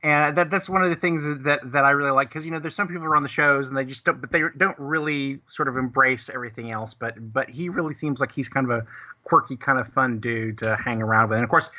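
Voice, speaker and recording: A man chatting casually as a talk show guest, halting and repeating words as he thinks. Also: medium pitch, bright, forceful tone, no room sound, highs cut off. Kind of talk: casual chat.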